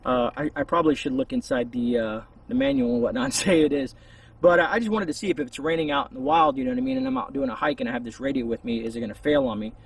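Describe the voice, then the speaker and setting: A man with a gravelly, husky voice giving a casual, rambling, hesitant product review outdoors, a little distance from the camera. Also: on the high side, big pitch swings, fast, a little hiss or hum. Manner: informal, hesitant, meandering. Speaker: YouTube reviewer. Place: outdoors among trees. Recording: voice distant from the mic.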